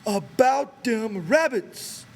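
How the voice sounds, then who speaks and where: Insistent simple-minded grown man, American, childlike, loud high drawn-out voice, audiobook. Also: lively intonation, gravelly, slight background noise, heavily acted. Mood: eager, insistent. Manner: slow, pleading, childlike.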